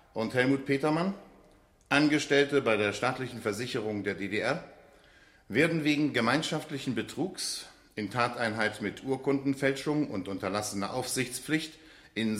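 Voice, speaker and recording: An echoing room carries the voice of a stern male judge played by an actor in a radio drama. He is mid-pitched, formal and declamatory as he pronounces judgement. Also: a bit bright, natural voice. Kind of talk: radio drama acting, formal verdict reading. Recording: roomy, echoing courtroom-like acoustic.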